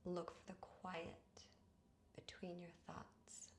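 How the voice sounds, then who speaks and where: Slow, hushed woman guiding meditation. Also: on the low side, completely flat delivery, in a whisper. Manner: soothing, hushed, breathy phrase endings, long pauses. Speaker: yoga nidra guide. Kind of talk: guided meditation narration.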